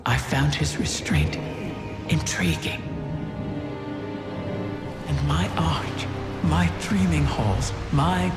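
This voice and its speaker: Ancient gravelly she-dragon in cavernous reverb. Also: low voice, expressive, heavily acted. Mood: wistful, grieving. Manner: slow, grand, cryptic, theatrical delivery. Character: ancient female wish dragon, imprisoned, proud, bitter, grieving. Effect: cavernous reverberant creature effect. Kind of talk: dramatic video game voice acting. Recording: long echoing hall reverb, loud music.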